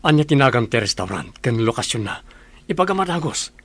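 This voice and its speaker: Man acting a gravelly, demanding boss. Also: medium pitch, sing-song, a little fast. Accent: Ilocano. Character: male boss searching for a woman, demanding. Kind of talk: radio drama acting.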